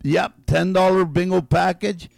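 A man's voice: high voice, fairly even intonation, vocal fry, a little mellow, no echo. A man hosting a call-in guessing game on the radio, drawing out and repeating each guess while he is losing his voice. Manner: drawn-out, repeats each guess slowly, chuckles. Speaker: radio game show host.

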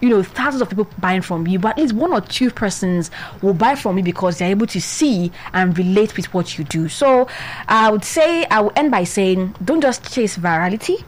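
A woman's voice: mid-range voice, animated, normal speed, few pauses, poor audio. A young woman with a Nigerian accent, co-hosting and telling a story in a chatty way full of restarts. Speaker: podcast co-host. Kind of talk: casual advice talk.

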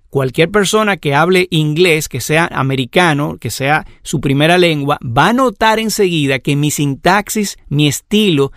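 A man teaching a Bible lesson in a measured, explanatory way, listing examples one after another. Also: fairly high pitch, a bit bright, no echo, clean studio sound. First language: Spanish. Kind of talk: lecture on scripture.

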